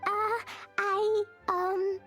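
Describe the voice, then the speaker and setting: A woman voicing an earnest, naive little girl in a video game, childlike and halting. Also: extremely high pitch, little pitch movement, fairly bright tone, soft background music, exaggerated voice. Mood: sheepish. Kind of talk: anime-style video game acting.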